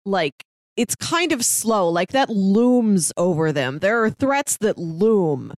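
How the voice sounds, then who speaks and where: A woman's voice: mid-range voice, big pitch swings, slow, no echo, clean studio sound. A woman co-hosting a podcast, chatting casually about a movie. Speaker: podcast co-host.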